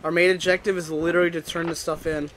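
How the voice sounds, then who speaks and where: A young man playing a co-op game with friends, reacting excitedly and planning aloud. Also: on the high side, quick pace, a little hiss or hum. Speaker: gamer. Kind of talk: casual co-op gaming chat.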